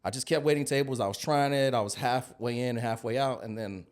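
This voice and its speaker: A man's voice: medium pitch, fairly quick. An American man in his early forties, a podcast guest telling stories in a casual, conversational style. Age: early 40s. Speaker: podcast guest, digital marketing consultant.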